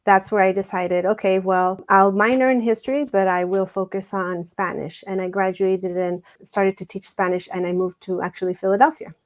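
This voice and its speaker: A woman's voice: mid-range voice, steady tone, moderate pace, hardly any pauses. Woman guest academic, from Mexico, earnest reflective tone, mid voice. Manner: warm, earnest, reflective storytelling. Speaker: guest scholar. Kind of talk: conversational interview.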